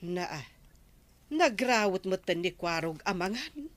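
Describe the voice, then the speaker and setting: A woman's voice: mid-range voice, expressive, takes it slowly. An actress with an Ilocano accent playing an inquisitive village woman in a comedy drama. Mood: curious.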